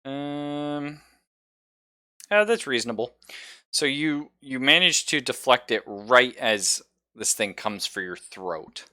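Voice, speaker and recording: A man's voice: mid-range voice, expressive, takes it slowly, pushed and bright, no room sound, clean studio sound. A man acting as game master, casually explaining rules and narrating the action of a tabletop role-playing game. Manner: explains rules conversationally, describes gory action.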